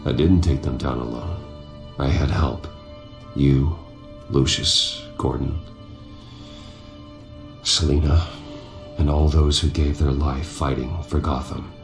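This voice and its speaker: Very deep-voiced, resolute brooding hero. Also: male voice, steady tone, takes it slowly, pauses often, exaggerated voice. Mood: sincere. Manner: restrained, sincere, quietly determined. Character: earnest, resolute wealthy man, brooding vigilante in private. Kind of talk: dramatic video game acting. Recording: roomy sound with faint music.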